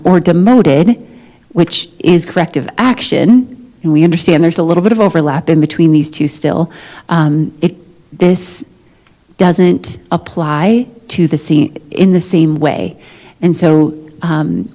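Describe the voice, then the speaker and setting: A woman speaking as a management negotiator in a formal but conversational meeting, explaining things in a measured, diplomatic way. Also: sits low, warm tone. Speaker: negotiator for a school district's management. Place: meeting room, live-streamed negotiation session.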